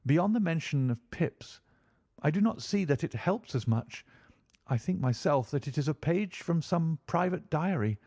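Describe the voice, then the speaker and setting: A man reads as a frightened young gentleman, his voice lifted well above a mellow, dry baseline and pitched with earnest pleading. The helpless, anxious tone holds steady throughout. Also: normal speed, no room sound. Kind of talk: audiobook character reading.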